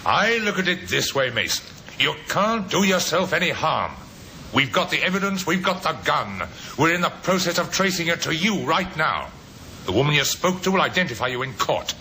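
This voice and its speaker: A firm, shrewd detective inspector with a gravelly edge and a sharp, forceful tone. He presses in clipped, authoritative phrases. It sounds like a vintage radio play with faint noise. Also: big pitch swings, normal speed, full character voice. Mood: firm, probing. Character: shrewd, firm Scotland Yard police inspector. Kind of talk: 1950s radio drama acting. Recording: old radio recording with noise, rumble.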